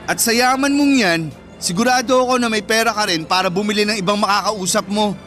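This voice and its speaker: A man's voice: high-pitched, brisk, sharp tone, soft background music. A man with a Filipino accent acting as a protective, earnest teenage boyfriend in a radio drama. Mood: firm, defensive. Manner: firm, earnest.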